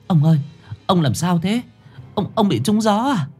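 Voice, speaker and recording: A male storyteller raises his voice into a distraught village mother, pleading and wailing. She goes high and bright above his own tone. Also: expressive, normal speed, husky, faint music behind. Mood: worried.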